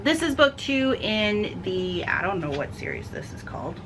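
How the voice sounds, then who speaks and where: A woman's voice: mid-range voice, very animated, normal speed, noisy background. A woman giving a casual, chatty book vlog, rambling along and correcting herself as she goes. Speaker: book vlogger.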